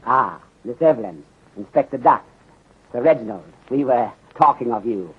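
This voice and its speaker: An actor playing a shrewd, courteous Chinese detective inspector in a 1930s radio serial, speaking formally and deliberately in clipped phrases that drop small words. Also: male voice, medium pitch, animated, a little hiss or hum, close to an everyday voice. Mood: polite, instructing. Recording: muffled, husky-sounding 1930s radio recording.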